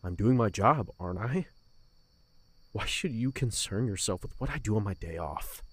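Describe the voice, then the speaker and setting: A man playing a heartbroken, guilt-ridden young captain in a fantasy audio drama, speaking haltingly with raw emotion and a husky voice. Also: fairly low pitch, animated. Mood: withdrawn, curt.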